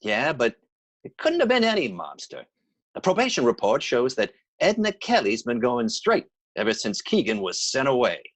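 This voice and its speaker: Man as animated, slangy police detective. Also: medium pitch, moderate pace. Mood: eager reporting. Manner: animated, colloquial. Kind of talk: old-time radio drama acting.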